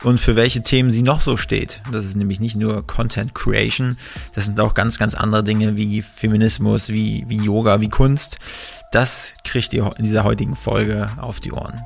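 A man's voice: fairly low pitch, flat delivery, normal speed, gravelly. A man co-hosting a podcast, joking in a laid-back, casual way. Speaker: podcast co-host.

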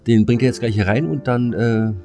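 A man's voice: medium pitch, fairly quick, dark tone, soft background music. A middle-aged man, chatting casually as a podcast co-host. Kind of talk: casual chat.

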